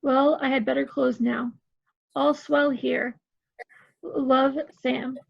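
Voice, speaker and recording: Woman acting earnest young woman, heavy vocal creak. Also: high-pitched, level tone, slow, no room sound, full character voice. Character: Faye, earnest young woman sharing a soldier's letter. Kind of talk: amateur play table read. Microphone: video call. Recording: muffled video call audio.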